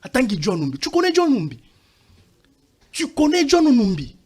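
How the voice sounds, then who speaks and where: A man's voice: extremely high pitch, huge pitch swings, moderate pace, a little mellow, husky. A man from Katanga arguing insistently in a heated TV debate, talking over the others and mixing French with Lingala. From Katanga, DR Congo. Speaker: debate panelist.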